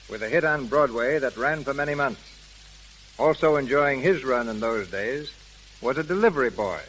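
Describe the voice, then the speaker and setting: A man hosting a radio theatre, reading scripted narration in a formal, measured 1930s showman style. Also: mid-range voice, fairly bright tone. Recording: crackly, hissy 1930s radio recording.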